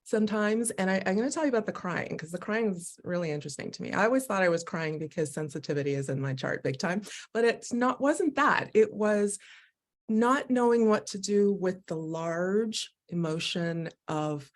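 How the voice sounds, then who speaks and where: A woman speaking as a guest on a live video call, telling her own story in an animated, expressive way and often laughing as she talks. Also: mid-range voice, moderate pace. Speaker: guest, Human Design educator. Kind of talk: candid personal conversation.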